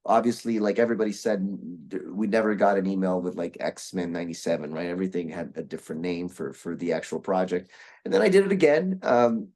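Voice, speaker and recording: A young man from Brazil talks casually in a somewhat low voice. He rambles and restarts often, filling gaps as he thinks aloud. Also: a little fast, a little mellow. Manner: rambling, with many restarts and fillers. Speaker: guest voice actor. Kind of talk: casual interview chat.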